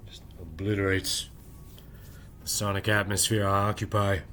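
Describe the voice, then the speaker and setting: A man with a low-set voice speaks in a loose, rambling late-night monologue and keeps checking in with a repeated "right?". He holds the volume down at home, and a faint hiss of room noise sits behind him. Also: level tone, moderate pace, bright, forceful tone. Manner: keeps voice down while family sleeps. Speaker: channel host.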